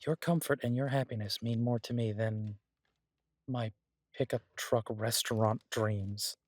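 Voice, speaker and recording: Man playing a husky, devoted easygoing husband. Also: medium pitch, fairly even intonation, measured pace, dry recording, lightly acted. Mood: hopeful, tender. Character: devoted, easygoing husband and cook, gently teasing. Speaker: voice actor in an audio roleplay. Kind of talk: intimate one-sided audio roleplay, conversational.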